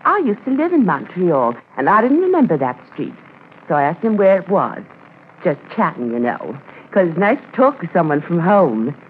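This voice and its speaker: Chatty, muddled cabin landlady, eager and gossipy, very animated delivery, tinny old radio sound. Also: fairly low pitch, moderate pace. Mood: chatty, confiding. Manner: rambling, gossipy, self-correcting. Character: chatty, eager, muddle-headed woman witness running tourist cabins. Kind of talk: 1950s radio drama acting. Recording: tinny, noisy 1950s radio transcription.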